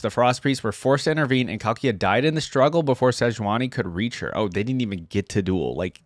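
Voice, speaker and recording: A man's voice: mid-range voice, no room sound, clean studio sound. A man hosting a reaction channel, moving between casual commentary and reading aloud.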